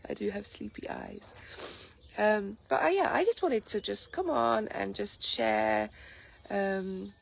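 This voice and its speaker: A woman with an English accent chatting casually on a morning livestream from her garden. She has a croaky voice just after waking and rambles in a relaxed way, with many ums. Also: mid-range voice, slow. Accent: English, Lincolnshire. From England. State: just woken, sleepy. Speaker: vlogger greeting live viewers. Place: outdoors in a garden. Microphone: phone camera. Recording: outdoor phone audio with loud birdsong. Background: birdsong and light breeze.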